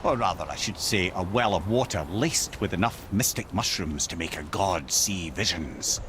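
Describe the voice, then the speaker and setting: Actor as wry old Scottish storyteller over noisy ambience. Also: male voice, low voice, moderate pace, natural voice. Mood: storytelling, confiding. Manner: wry, eloquent storyteller with theatrical flourishes. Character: witty, wry old counselor, a well-travelled talking head. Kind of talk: video game character dialogue.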